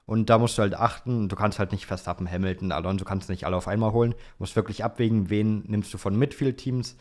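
Lowish-voiced, easygoing young man. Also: fairly even intonation, fast. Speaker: podcast co-host. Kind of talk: casual chat answering listener questions.

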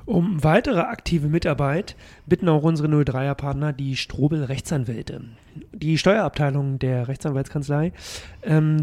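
A man's voice: a little high, dark tone. A man hosting a club news podcast, upbeat and chatty, thanking guests and reading notices. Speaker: podcast host.

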